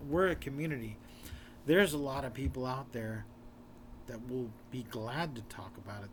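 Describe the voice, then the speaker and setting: Hoarse man urging viewers on. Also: mid-range voice, noisy background. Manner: urgent, repetitive, exhorting the viewer. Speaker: author presenting his book in a video series. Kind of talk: earnest direct-to-camera talk.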